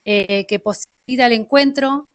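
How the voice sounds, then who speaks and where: Argentine woman, thirties, fairly high voice, workshop organiser presenting on a video call from home. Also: moderate pace. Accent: Argentine Spanish. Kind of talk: online presentation. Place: indoor kitchen at home. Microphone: earphone mic held near mouth, video call.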